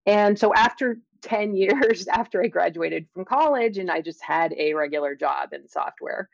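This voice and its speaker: A middle-aged woman speaking as an interview guest, explaining and telling her experiences in a thoughtful, halting way with drawn-out emphasis. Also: mid-range voice, expressive, gravelly, dry recording, highs cut off. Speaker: interview guest, scientist and author. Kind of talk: conversational interview, personal storytelling and explanation.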